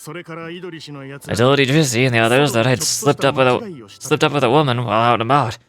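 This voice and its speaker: A man's voice: medium pitch, moderate pace, a bit bright, close to an everyday voice. An actor playing a doting, boastful older desert gang leader and adoptive father in a video game, reading out a letter with sighs. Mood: rueful, tender. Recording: music playing behind the voice. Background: game music.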